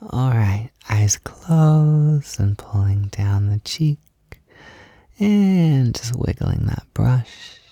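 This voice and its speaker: A man speaking softly and intimately in a roleplay, gentle and reassuring as if guiding someone through a task, at times close to a whisper. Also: mid-range voice, expressive, very slow, no room sound. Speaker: roleplay audio performer. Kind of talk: soft-spoken intimate roleplay, like a personal makeup tutorial.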